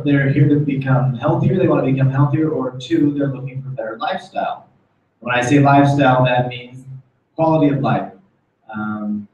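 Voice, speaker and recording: A man's voice: mid-range voice, darkish tone. A man with an American accent giving an informal motivational talk as a corporate guest speaker, repeating phrases for emphasis. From United States. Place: meeting room, speaking before small audience. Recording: very echoey room, distant muffled mic.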